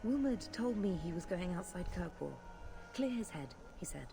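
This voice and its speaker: A nervous young recruit soldier in a video game. She speaks hesitantly in a lowish voice. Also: animated, normal speed, vocal fry, music in the background.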